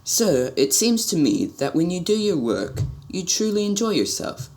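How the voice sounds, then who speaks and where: A man's voice: high voice, very animated, normal speed, darkish tone. A man playing a naive, spoiled young prince in an amateur radio drama, speaking in a polite, puzzled, slightly formal way. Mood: puzzled, doubtful.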